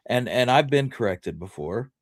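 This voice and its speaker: A man co-hosting a casual history discussion, explaining his points in a measured, deliberate way. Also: medium pitch, expressive, no echo, studio quality. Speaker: podcast co-host.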